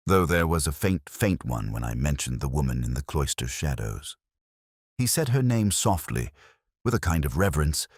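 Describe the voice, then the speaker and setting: A man playing a brooding, introspective English police detective, narrating a mystery in measured, solemn, literary phrases. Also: extremely low pitch, breathy edge, dry recording, studio quality, full character voice. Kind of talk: scripted first-person mystery narration.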